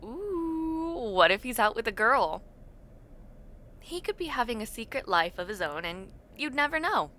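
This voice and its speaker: A woman voice actor plays a teasing, friendly young woman from the dorm next door. Her voice is bright and swings widely in pitch as she chats casually to the listener in an audio roleplay. Delivery is unhurried, with drawn-out words and little murmured reactions. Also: big pitch swings, slight background noise, full character voice. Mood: chatty, playful. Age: young adult.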